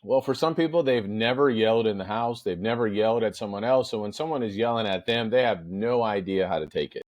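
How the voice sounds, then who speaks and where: A middle-aged man with a powerful voice, hosting a podcast in an emphatic, deliberate motivational monologue with storytelling. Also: on the low side, no echo, muffled recording. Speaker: podcast host.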